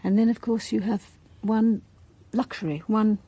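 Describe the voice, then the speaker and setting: A woman with a British accent hosting a radio interview, asking her questions in a gentle, measured way. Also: mid-range voice, steady tone, breathy edge, no room sound. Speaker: radio host.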